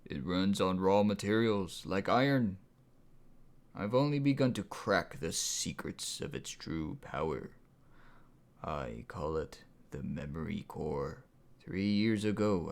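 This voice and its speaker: A man plays a calm tyrant in an audiobook. The voice sits low and moves slowly, soft and breathy, with deliberate menace. He sounds like a would-be king in full control. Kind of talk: audiobook character voice.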